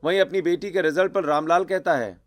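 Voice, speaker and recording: Higher-pitched male cartoon story narrator. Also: fairly even intonation, fairly quick, no echo.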